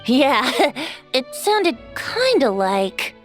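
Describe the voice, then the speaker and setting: A woman's voice: sits high, big pitch swings, very slow, heavily acted. A woman voicing a cheerful, theatrical youthful male bard in a video game. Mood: storytelling. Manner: theatrical, playful, lilting. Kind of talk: video game character acting. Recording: game audio with music behind.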